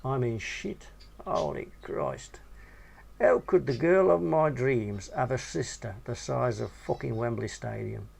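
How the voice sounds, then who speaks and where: A man's voice: a little slow, vocal fry, slightly rough. A man reading his own crude first-person short story in a casual, slangy way. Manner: crude, casual narration with animated swings in pitch.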